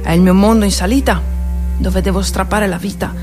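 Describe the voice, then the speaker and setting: A woman's voice: mid-range voice, steady tone, a little fast, music in the background, close to an everyday voice. Actress as weary, feisty mountain peasant woman. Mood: wry, weary. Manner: earthy, wry, sighing with effort. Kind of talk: theatrical monologue with music.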